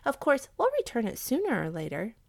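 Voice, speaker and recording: A woman voicing a crafty, eager village wife in a storybook, with a brisk, scheming, emphatic delivery. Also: fairly high pitch, wildly swooping pitch, vocal fry, no echo, clean studio sound, pitched above the performer's own voice, exaggerated voice. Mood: coaxing.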